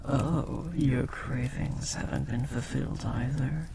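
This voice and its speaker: Man playing a devoted demon lover, gravelly voice. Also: medium pitch, slow, noisy background. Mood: tender, yearning. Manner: formal, earnest, hesitant and breathy. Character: devoted, lovestruck powerful demon bound to a sorcerer. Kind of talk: intimate audio roleplay acting.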